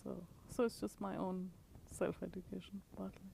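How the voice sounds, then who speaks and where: A woman in her forties, from India, recalls her life in a recorded interview. Her pitch sits in the middle, neither high nor low. She talks in a measured, reflective way and lets her sentences trail off quietly. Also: level tone, creaky, a little hiss or hum. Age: 40s. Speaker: interviewee, author and professor. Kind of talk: recorded oral history interview.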